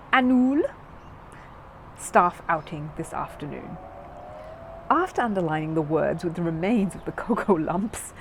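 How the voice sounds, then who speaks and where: Low-pitched, animated woman reading a story. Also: background noise. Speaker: storyteller reading a children's book aloud. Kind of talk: scripted narration, children's audiobook.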